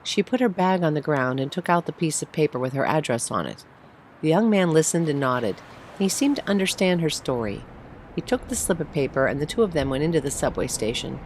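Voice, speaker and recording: A woman's voice: low-pitched, lively intonation, a little fast, a little mellow, low-quality recording. A woman reading a short story aloud as a scripted narrator. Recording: faint background noise.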